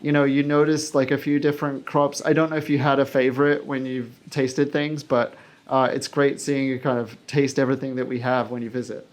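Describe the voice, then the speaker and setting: A man's voice: mid-range voice, level tone, fairly quick. A man hosting a podcast, interviewing a guest in a conversational, enthusiastic manner. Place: small basement room on an indoor farm.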